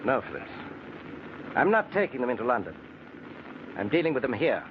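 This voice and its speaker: A man's voice: medium pitch, big pitch swings, normal speed, close to an everyday voice. A man playing a stern, merciless spaceship captain in 1950s radio drama style, clipped and commanding. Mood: cold, decisive. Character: stern, merciless spaceship captain who is his own law. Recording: muffled, noisy old radio recording.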